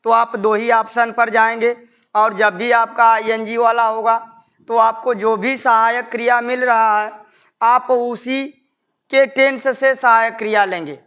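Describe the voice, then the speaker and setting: Male Indian tutor, bright, crisp voice, step-by-step didactic delivery. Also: extremely high pitch, little pitch movement, measured pace. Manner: didactic, repeats instructions. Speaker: English grammar teacher. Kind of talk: instructional lesson, explaining step by step in Hindi with English terms.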